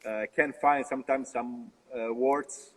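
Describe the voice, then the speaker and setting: Italian-accented man, halting and reverberant. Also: mid-range voice, steady tone, fairly bright tone. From Italy. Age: young adult. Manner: halting, many fillers and restarts. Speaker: brewer, guest. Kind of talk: casual interview over a live stream. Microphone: video call.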